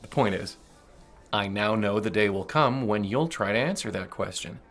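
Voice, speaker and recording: Gravelly, cynical boss. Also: male voice, lowish voice, expressive, normal speed, fairly bright tone, soft background music. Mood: menacing, contemptuous. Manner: profane, mocking, menacing. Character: cynical, crude, domineering male corporate boss. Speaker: voice actor. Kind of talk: audio drama acting.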